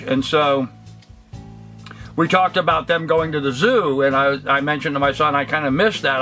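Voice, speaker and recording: An older man talking earnestly to the camera from a cluttered workshop, reflective and halting with many ums and restarts. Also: on the high side, pushed and bright. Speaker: vlogger. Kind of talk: casual talk to camera. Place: cluttered indoor workshop room.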